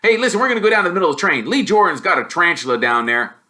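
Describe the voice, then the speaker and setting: Man putting on a loud, cheeky teenage boy, high-pitched. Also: big pitch swings, rapid-fire, full character voice. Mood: breezy. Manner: loud, eager, joking. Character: cheeky, excitable teenage twin boys.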